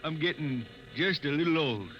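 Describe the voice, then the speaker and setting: An actor playing an aging, blind spaceman balladeer in a 1950s radio drama, speaking in a gentle drawl. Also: fairly high pitch, moderate pace, close to an everyday voice. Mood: wistful. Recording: muffled old radio broadcast with hiss.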